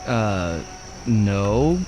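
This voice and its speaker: A man's voice: lowish voice, animated, very slow, noisy background. A man voicing a suave young raccoon thief in a cartoon game, laid-back, wry and confident. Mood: casual.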